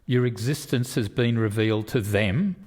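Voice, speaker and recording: An actor playing a disapproving alien committee guest in a radio play, asking formal, pointed questions. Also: male voice, fairly low pitch, normal speed, a little mellow, slight background noise, natural voice. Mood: probing, disapproving.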